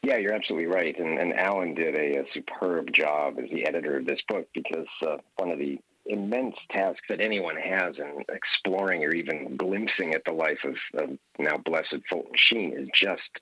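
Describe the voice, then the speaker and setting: A man in his fifties from Hawaii, a guest author telling stories in an earnest, measured way on a radio interview. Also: extremely low pitch, bright, forceful tone, dry recording, rough recording.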